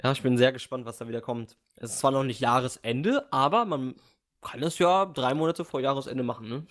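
A young man co-hosting a podcast in casual chat, easygoing, with halting restarts. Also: mid-range voice, fairly bright tone. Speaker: podcast co-host.

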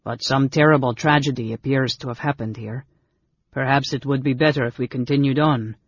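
Man voicing a husky, cultivated, guarded stranger. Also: medium pitch, steady tone, brisk, dry recording, lo-fi sound. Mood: courteous. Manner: polite, controlled, formal. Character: cultivated, guarded male foreign stranger, polite and tense.

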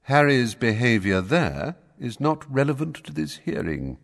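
Man doing a calm polite old wizard, measured, bit higher than his own voice, husky, echoey. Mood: calm, courteous. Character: calm, courteous old male wizard, quietly firm.

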